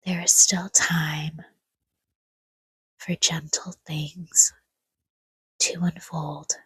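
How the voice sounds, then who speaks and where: A woman softly guiding a sleep meditation in a hushed, breathy whisper, with gentle, soothing delivery in short phrases and long pauses. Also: sits low, flat delivery, dry recording. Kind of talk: guided sleep meditation, scripted, soft-spoken.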